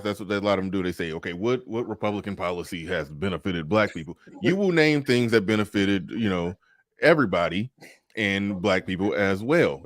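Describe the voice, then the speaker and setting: Man, forty, livestream host, casual chat, lowish voice, dry sound. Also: dry recording. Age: 40s. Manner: reads super chats aloud, restarts often.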